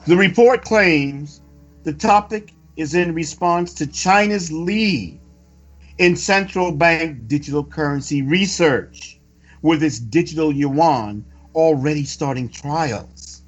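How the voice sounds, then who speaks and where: A man reading a scripted news report with preacherly commentary, in a deliberate, emphatic and solemn way. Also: on the high side. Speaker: religious report reader and commentator. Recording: dull lo-fi audio with music. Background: background music.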